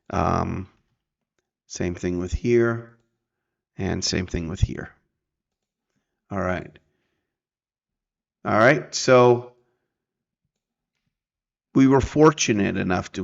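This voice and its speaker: Man, lowish voice, creaky, casual screen-share walkthrough, halting delivery, muffled lo-fi sound. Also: a bit bright. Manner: halting, conversational, often checking with "right?" and "okay?". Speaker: presenter of a software tutorial. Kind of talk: casual screen-recording walkthrough, thinking aloud.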